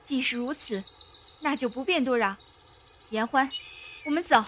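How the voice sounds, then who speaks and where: A woman playing a gentle, soft-spoken young woman fleeing with a child, in a Chinese costume radio drama. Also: sits high, moderate pace. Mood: polite. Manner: soft, soothing, polite. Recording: faint background noise, outdoor ambience.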